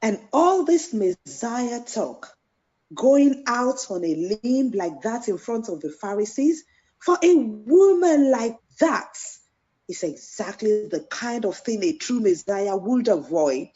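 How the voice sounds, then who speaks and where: A catty biblical gossip voiced by a woman in an audio drama. Her fairly high voice moves slowly and swoops with smug mockery. The audio is a bit dull. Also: sing-song, mellow. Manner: smug, mocking. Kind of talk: audio play acting.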